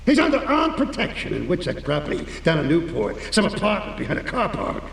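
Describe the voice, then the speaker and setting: An actor playing a cynical, corrupt middle-aged cop who talks in a gruff, sneering street manner. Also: male voice, high voice, huge pitch swings, fairly quick, a bit bright, reverberant, in a large hall, far from the mic, a little hiss or hum, heavily acted, rough recording.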